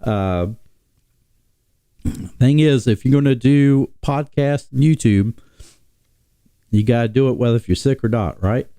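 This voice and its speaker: A man hosting a casual sports talk stream, sick and nasal, rambling with many pauses and restarts. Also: mid-range voice, warm tone, clean tone, no room sound. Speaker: podcast host.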